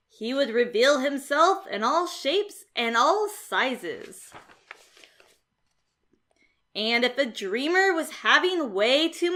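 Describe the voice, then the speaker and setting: High-voiced woman reading a children's story. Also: pushed and bright. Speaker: storybook narrator. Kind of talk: expressive rhyming children's story narration.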